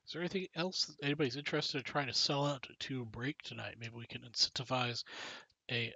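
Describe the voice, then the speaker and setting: A man's voice: mid-range voice, fairly even intonation, fairly quick, creaky, pushed and bright, husky, no room sound. A man hosting a live card break stream, chatting casually and joking with viewers while reading out cards and names. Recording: dull lo-fi stream audio.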